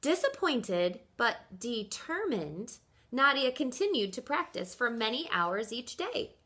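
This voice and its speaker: A woman reading a children's picture book aloud like a teacher, with expressive, deliberate storytelling emphasis. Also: a little high.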